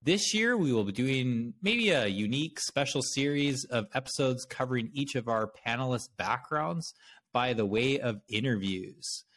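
A man's voice: medium pitch, expressive, moderate pace, fairly bright tone, slight background noise. A man from Canada with a Canadian accent, talking casually and conversationally as a podcast host.

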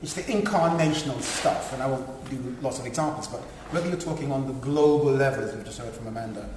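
A middle-aged man speaking on a panel, earnest, with halting restarts. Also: mid-range voice, background noise. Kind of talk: panel discussion remarks. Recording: echoey hall with long reverb.

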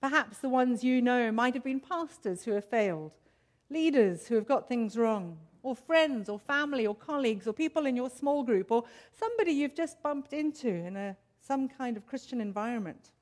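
A South African woman preaching a sermon to her congregation in a measured, earnest way. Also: on the high side, lively intonation, a little mellow.

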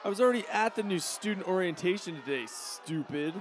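A man's voice: high voice, expressive, brisk. A man playing a pampered, bratty male student in a comedy series, petulant and full of bluster. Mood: indignant.

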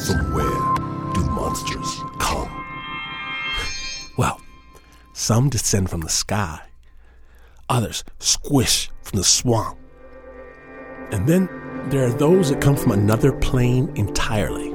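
A man hosting a storytelling show, delivering a dramatic scripted introduction with theatrical, deliberate phrasing. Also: on the low side, expressive. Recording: loud music under the voice. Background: loud music.

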